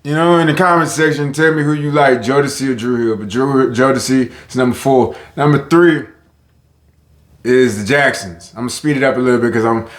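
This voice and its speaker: A man with a deep voice rambling casually to his viewers, interrupting himself and often asking if they know what he's saying. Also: fairly even intonation, fairly quick, echoey room. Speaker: vlogger. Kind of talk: casual talk to camera.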